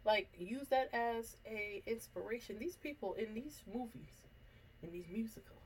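A woman's voice: a little high, moderate pace, slight background noise, rough recording. A young woman with a congested, stuffy nose, chatting casually and teasing on a podcast. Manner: teasing, laughs often. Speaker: podcast co-host. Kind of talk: casual chat.

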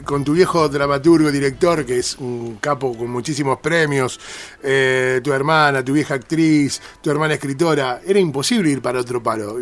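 A man with a Rioplatense Argentine accent interviewing in an informal, colloquial, conversational way on radio. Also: mid-range voice. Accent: Rioplatense Argentine Spanish. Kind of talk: casual interview chat.

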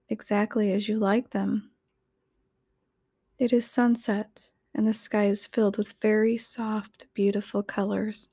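A woman reading a scripted guided meditation in a soothing, gentle, measured manner. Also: medium pitch, dry recording, clean studio sound.

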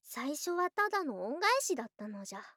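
A voice actress playing an affectionate snake-spirit wife in a Japanese ASMR voice drama, with archaic, coy, excitable speech and open devotion. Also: female voice, sits high, brisk, no room sound, clean studio sound. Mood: tender, earnest. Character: affectionate female lamia snake-spirit wife, girlish yet old-fashioned.

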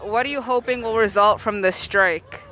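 A woman's voice: mid-range voice, normal speed, bright, forceful tone. Woman interviewer asking brief questions outdoors. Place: outdoor street protest. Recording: outdoor crowd and street noise.

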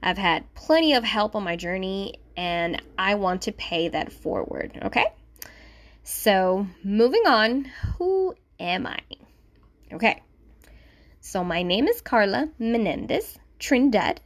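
A woman's voice: mid-range voice, big pitch swings, slow. A woman in her thirties hosts a solo podcast, speaking English with a light Puerto Rican accent, her first language being Spanish. She chats casually and pokes fun at herself, often turning to the listener with little asides. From Puerto Rico. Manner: chatty and self-deprecating, with asides to the listener. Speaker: podcast host.

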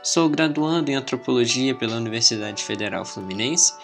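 A young Brazilian man, hosting a podcast with a clear, welcoming delivery. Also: medium pitch, animated, fairly quick, a bit bright, soft background music. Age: young adult. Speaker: podcast host, anthropology student. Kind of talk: podcast hosting, scripted intro.

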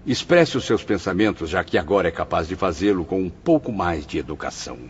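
A sardonic Brazilian soul sneers with mock politeness in a deep, very raspy voice. His pitch swings widely in this radio drama. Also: sing-song, brisk, exaggerated voice. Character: sardonic, impatient man among tormented souls. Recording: muffled radio drama recording.

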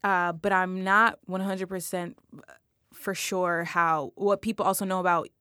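A woman's voice: fairly low pitch, level tone, measured pace, bright, forceful tone, clear, resonant tone, no echo, clean studio sound. A woman hosting a podcast, chatting enthusiastically with a friend and often restarting her sentences. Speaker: podcast host. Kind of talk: casual interview chat.

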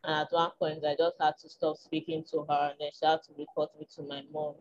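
A woman's voice: low-pitched, little pitch movement, quick pace, dull audio. A woman with a Nigerian accent and a small, tiny voice, talking frankly and reflectively as an interview guest, with frequent ums. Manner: reflective, frank, with filler ums and soft laughs. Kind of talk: candid conversational chat.

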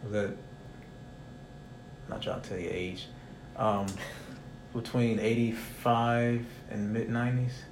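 A man's voice: on the low side, flat delivery, slow, vocal fry, noisy background. A young man hosting a casual interview, talking in a rambling, reflective way. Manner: rambling, reflective, soft-spoken.

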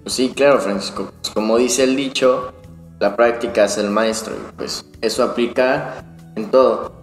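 A man's voice: medium pitch, fairly even intonation, normal speed. A young man co-hosting a podcast, talking conversationally about self-improvement. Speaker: podcast co-host. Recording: echoey long reverb, music behind the voice. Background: music.

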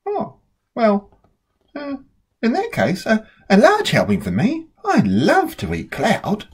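Storybook little sister, played by a woman: stubborn and dramatic, very animated pitch, slow emphatic phrasing. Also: husky, full character voice. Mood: coaxed, eager. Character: little girl Lola, stubborn fussy eater. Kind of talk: storybook character voice.

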